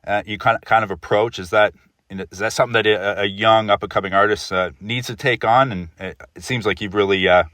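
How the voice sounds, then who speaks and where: A man hosting a casual podcast interview, talking haltingly, repeating words and often saying "you know". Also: fairly low pitch, fairly even intonation, bright, forceful tone, dry recording, recorded from a distance.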